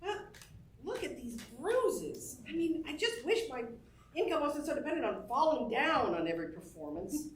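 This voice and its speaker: An actress playing a brassy, playful vaudeville star, trading good-humored wisecracks in a staged reading. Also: female voice, sits high, big pitch swings, moderate pace. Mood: wry, rueful. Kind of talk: theatrical acting in a staged musical reading. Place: indoor staged reading, recorded from a distance. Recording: distant stage mic, roomy, faint noise.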